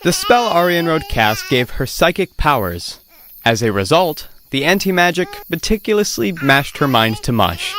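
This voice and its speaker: A woman playing a theatrical storyteller, narrating a scripted musical audio drama straight to the audience. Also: sits low. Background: loud music.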